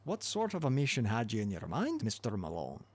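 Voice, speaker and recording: A man's voice: on the low side, huge pitch swings, brisk, breathy edge, no echo, full character voice. A man voicing a kindly, wry old newspaper editor with a Scottish accent in an audiobook story. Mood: dry, discouraging.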